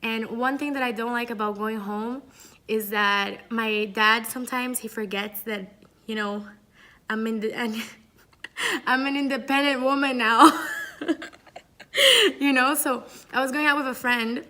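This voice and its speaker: A woman's voice: a little high, fairly even intonation, a little slow, pushed and bright. A young woman from Brazil, a student, chatting playfully to camera and leaning on "like" and "you know". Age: young adult. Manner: chatty and playful, laughs often, many "like" fillers. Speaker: student interviewee. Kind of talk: casual chat to camera. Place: Paris.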